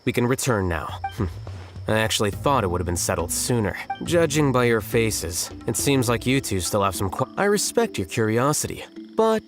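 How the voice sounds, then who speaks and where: Aloof, deadpan young man voiced by an actor. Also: mid-range voice, normal speed, over music, lightly acted. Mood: dry, dismissive. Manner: deadpan, dry, dismissive. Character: aloof, unbothered, blunt young scholar, male. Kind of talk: video game character acting.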